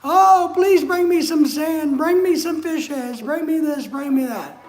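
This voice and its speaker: A man with a Southern US accent voicing eager female friends begging for gifts, in a high squealing falsetto. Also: measured pace. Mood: pleading. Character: pleading excited female friends asking for gifts. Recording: roomy echo.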